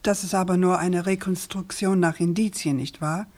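An actress playing a persistent, probing investigative journalist in a naturalistic radio crime drama, questioning insistently and deliberately. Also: female voice, fairly low pitch, fairly even intonation, darkish tone, close to an everyday voice. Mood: probing, skeptical.

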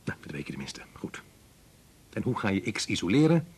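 A stern, critical scientist father in a radio drama. His voice is low and husky, and he lectures with short-tempered exasperation, as if nobody can keep up with him. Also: big pitch swings, a little fast. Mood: exasperated, angry. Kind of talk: Dutch radio play acting.

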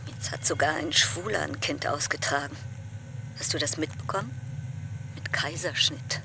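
A woman's voice: low voice, moderate pace, vocal fry, background noise. A woman playing a weary, frustrated, blunt character on a call in a naturalistic radio drama, sounding impatient and fed up. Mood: probing.

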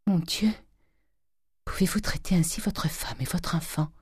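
A woman storyteller gives voice to a gentle mother on her deathbed. She speaks weakly and plaintively, sighing through a storybook scene in a dry recording. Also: very deep voice, flat delivery, very fast, husky, dry recording. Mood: faint reproach. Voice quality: weak, faint voice. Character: frail, dying, gentle mother, an anxious wife.

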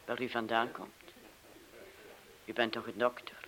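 A man's voice: mid-range voice, completely flat delivery, a little slow, a bit bright, natural voice, low-quality recording. Actor as a blunt, cynical young man. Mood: probing, teasing. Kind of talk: radio play acting.

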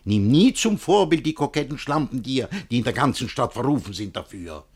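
An actor playing a domineering, self-satisfied middle-aged guardian, pompous and preachy, with a husky voice in theatrical rhymed-verse radio drama. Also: male voice, medium pitch, expressive, fairly quick, lightly acted. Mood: stern, preachy. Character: domineering, self-satisfied older guardian lecturing his young ward. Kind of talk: German radio play acting in rhymed verse.

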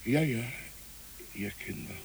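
A man playing a kindly, reflective village pastor in a literary radio play, speaking in a measured, gently formal way. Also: medium pitch, a little hiss or hum, rough recording. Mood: mild, musing.

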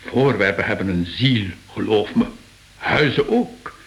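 Slow, solemn, dreamy art curator. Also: male voice, fairly low pitch, animated, gravelly. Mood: fervent, reflective. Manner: solemn, reflective, deliberate. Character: earnest, mystically minded male art curator, spellbound by old portraits. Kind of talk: Dutch-language radio play acting.

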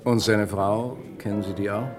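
An actor playing a shrewd, persistent police inspector in a vintage radio crime drama, blunt and probing. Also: male voice, lowish voice, steady tone, moderate pace, over music, natural voice. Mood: wry, probing. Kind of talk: German radio crime play acting, 1950s to 80s.

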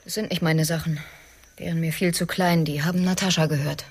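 A woman's voice: low voice, steady tone, brisk, husky. An actress playing a firm, practical and guarded woman at a research station in a naturalistic radio drama. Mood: subdued.

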